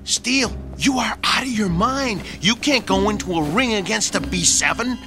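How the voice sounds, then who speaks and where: A jittery, worried sidekick who pleads and stammers in a fairly high voice. His pitch swings widely as he frets. Dramatic music plays underneath this radio play. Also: expressive, moderate pace. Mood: anxious. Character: anxious, pleading male sidekick.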